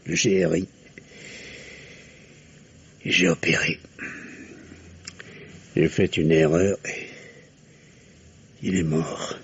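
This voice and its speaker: Tired guilty dad in a radio play, talks really slow, husky and grave. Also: male voice, sits low, steady tone, dull audio, heavily acted. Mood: grave confession. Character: remorseful, weary middle-aged father, former surgeon who drinks. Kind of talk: French radio drama acting.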